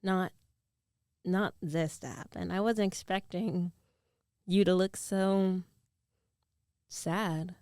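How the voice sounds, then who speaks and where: Woman acting as caring teasing ex girlfriend, asmr roleplay, soft n hesitant, real slow, dry close mic. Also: fairly low pitch, steady tone, no room sound. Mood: hesitant, regretful. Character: concerned, teasing young ex-girlfriend at a hospital bedside.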